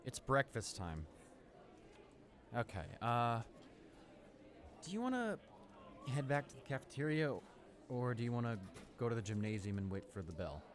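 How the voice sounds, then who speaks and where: A friendly teenage guy in his last year of high school, acted by a young man, in a casual roleplay audio drama. He rambles and hesitates, easily flustered and quick to apologize. His voice sits at a medium male pitch. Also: very animated, lightly acted. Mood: casual complaining.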